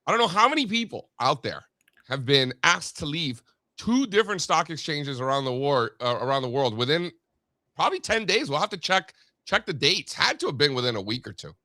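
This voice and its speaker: A man's voice: a little high, big pitch swings, a little fast, bright, forceful tone, no echo, clean studio sound. A man co-hosting a morning show, telling stories in casual, joking, mock-serious banter.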